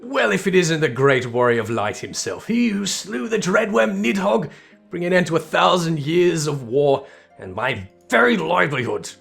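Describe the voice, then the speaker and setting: A man's voice: fairly high pitch, big pitch swings, normal speed, gravelly, a bit bright, soft background music, voice pushed up, exaggerated voice. A man voicing a boisterous, sardonic mercenary spearman in a fantasy game, with wry, theatrical old-fashioned speech. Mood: mock bitter.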